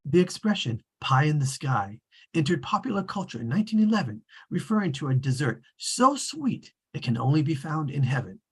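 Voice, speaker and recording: A performer reading the part of an omniscient, wry storybook narrator in a measured, formal way at a table read. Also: mid-range voice, big pitch swings, husky, exaggerated voice.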